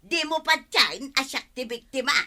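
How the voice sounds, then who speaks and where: A woman's voice: high-pitched, normal speed, pushed and bright. A woman playing an indignant, quarrelsome village woman with an Ilocano accent in a radio comedy-drama, arguing emphatically that she is the wronged one. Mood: defiant. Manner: argumentative, emphatic.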